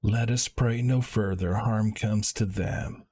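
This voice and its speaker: A man voicing a kindly, formal fatherly caretaker from a fantasy game, with halting, old-fashioned phrasing. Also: on the low side, fairly even intonation, warm tone, husky. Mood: grave, kindly.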